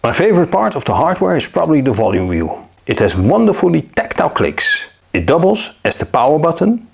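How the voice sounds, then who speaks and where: A man narrating a scripted gadget review in a measured, upbeat way. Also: on the high side, very animated, gravelly, slightly rough. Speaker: tech reviewer.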